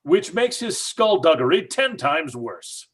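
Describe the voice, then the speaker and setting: A man's voice: a little high, sing-song, a little slow, breathy edge, exaggerated voice. An actor playing a stubborn, skeptical middle-aged father in an old-time radio horror drama, blustering and combative. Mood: accusing, certain. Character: stubborn, skeptical father, angrily sure of a hoax.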